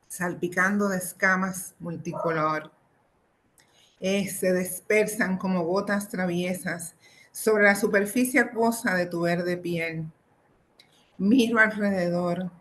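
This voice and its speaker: Slow, low-voiced middle-aged woman poet. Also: a bit bright, slight background noise, low-quality recording. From Dominican Republic. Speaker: poet and professor presenting own work. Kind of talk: poetry reading with informal introductions.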